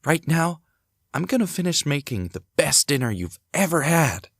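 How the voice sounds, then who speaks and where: A man playing a devoted young husband and father in an audio drama, gentle, curious and theatrical. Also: a little high, very animated, normal speed, dry recording, clean studio sound, full character voice. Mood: reassuring. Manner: reassuring, theatrical, thinks aloud to himself. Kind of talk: hobby audio drama acting.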